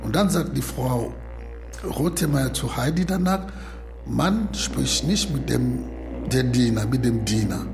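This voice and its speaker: A non-native man informally retelling a story in halting phrases, acting out the dialogue as he goes. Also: mid-range voice, expressive. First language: not German. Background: music.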